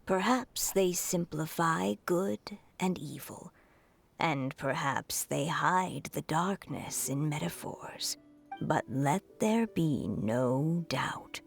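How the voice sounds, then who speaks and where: Slow, murmuring motherly goddess. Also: low voice, lively intonation, heavily acted. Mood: tender, solemn. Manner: solemn, tender, breathy murmured delivery. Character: serene, motherly goddess of fate. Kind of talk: scripted game voice acting. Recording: music under the voice.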